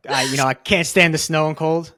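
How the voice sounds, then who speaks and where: A man's voice: fairly high pitch, fairly even intonation, pushed and bright. A man asking interview questions in a casual, easygoing way, sometimes restarting his sentences. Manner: easygoing, slightly halting questions.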